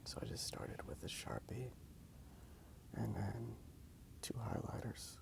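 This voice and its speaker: A man rambling softly in a breathy ASMR whisper, unhurried and halting as he comments on his drawing. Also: on the low side. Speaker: host of a drawing ASMR channel.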